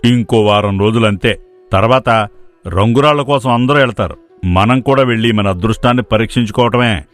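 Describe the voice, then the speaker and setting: A man's voice: medium pitch, moderate pace, no echo, close to an everyday voice. A man playing a lazy, scheming young villager, a casual and mocking sidekick in a moral story. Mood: eager, scheming. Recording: music playing behind the voice.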